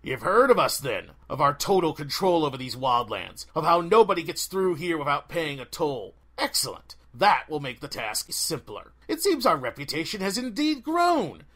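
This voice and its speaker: A man's voice: on the high side, big pitch swings, moderate pace, few pauses, fairly bright tone. A man voicing a pompous, smug bandit leader with grandiose, mock-courtly, archaic phrasing in a storybook reading. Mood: smug, threatening.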